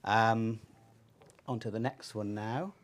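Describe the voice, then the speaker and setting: A middle-aged man presenting informally on a webinar, speaking haltingly with pauses. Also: fairly low pitch, fairly even intonation. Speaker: panelist presenting research.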